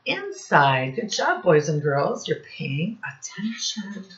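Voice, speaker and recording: A woman teacher reading a picture book aloud to young children in deliberate, separated phrases, asking them questions as she goes. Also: on the low side, very animated. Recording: dull, muffled lo-fi recording.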